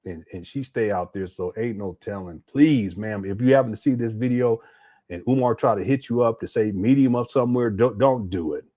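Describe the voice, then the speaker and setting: A man's voice: fairly low pitch, fairly quick, dark tone. A man giving casual reaction commentary on a clip, exasperated and laughing, with slangy asides.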